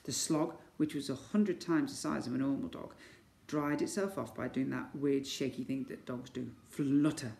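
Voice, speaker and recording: A man's voice: mid-range voice, steady tone, moderate pace, creaky, a little mellow, a little hiss or hum. A man reading a children's story aloud in a theatrical way. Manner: theatrical, exclaims sound words. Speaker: school story reader. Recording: echoey room.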